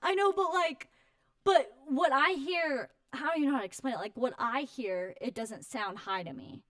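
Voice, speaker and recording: Woman, twenties, effusive high-energy interview guest, informal, quick to laugh, dry recording. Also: a bit bright, dry recording. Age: 20s. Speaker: interview guest, home warranty company representative. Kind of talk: casual chat.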